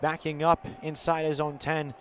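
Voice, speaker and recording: A man with a fairly high voice and an American accent delivers live football play-by-play as a radio commentator. Some stadium noise sits behind him. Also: little pitch movement, moderate pace. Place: football stadium broadcast position. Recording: outdoor stadium background noise.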